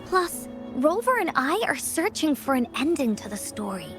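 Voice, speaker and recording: A woman's voice: high voice, very animated, moderate pace, over music. A woman voicing a quirky, confident little girl in a video game, with playful, childlike pep. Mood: playful, eager. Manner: speaks of self in third person, childlike pep. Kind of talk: video game character acting.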